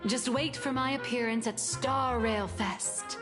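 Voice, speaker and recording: A woman's voice: a little high, steady tone, unhurried, long reverb tail, music in the background, close to an everyday voice. An actress playing a playful, scheming creative woman in a video game, with theatrical, sly delivery. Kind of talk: video game character acting.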